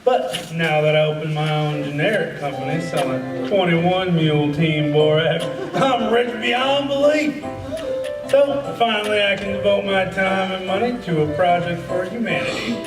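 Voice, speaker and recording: A man's voice: sits high, normal speed, close to an everyday voice. A man playing a rich, grateful parks philanthropist in an amateur radio play, with a formal, declamatory delivery. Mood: proud, earnest. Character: rich, grateful philanthropist, former soap-company tycoon, parks champion. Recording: roomy, distant mic, music behind.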